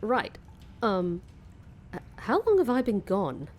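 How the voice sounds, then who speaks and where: A woman's voice: mid-range voice, huge pitch swings, takes it slowly, darkish tone, exaggerated voice. An actress playing a sarcastic, defiant young woman in a fantasy comedy audio drama, wry and outspoken. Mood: taken aback.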